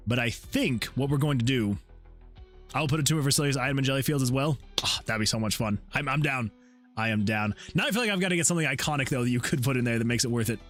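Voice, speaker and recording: A man hosting a game development livestream, chatting casually with viewers and rambling excitably as he thinks out loud. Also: medium pitch, fast talker. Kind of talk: casual chat with stream viewers while working. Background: background music.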